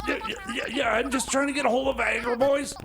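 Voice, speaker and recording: A man voicing a frantic male time machine mechanic in a comedy radio play, stammering and shouting in a flustered way. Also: extremely high pitch, fairly even intonation, quick pace, vocal fry.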